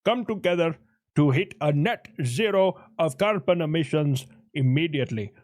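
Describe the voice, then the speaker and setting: A man's voice: a little high, animated, normal speed, darkish tone, no echo, studio quality, natural voice. A man voicing India as a nation in a put-on Indian accent, making earnest, stumbling speeches.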